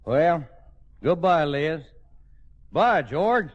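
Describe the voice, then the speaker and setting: Old cowboy suitor, gruff and folksy, lively highish voice, dull vintage radio comedy recording. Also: male voice, extremely slow, full character voice. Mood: wistful farewell. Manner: rustic drawling idiom. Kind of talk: 1940s radio comedy acting.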